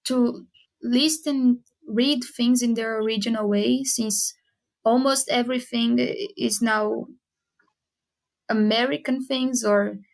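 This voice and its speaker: A woman from Brazil, a student guest on a podcast, speaking English with a Brazilian accent and pausing to find her words. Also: on the high side, fairly even intonation, creaky, rough recording. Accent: Brazilian Portuguese. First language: Portuguese. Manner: searching for words, halting. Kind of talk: casual podcast chat.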